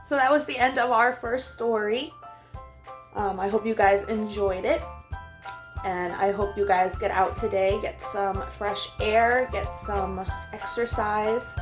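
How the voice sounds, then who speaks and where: A woman hosting a children's storytime, speaking in simple, deliberate phrases meant for young listeners. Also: mid-range voice, fairly even intonation, music in the background. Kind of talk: read-aloud and talk addressed to young children.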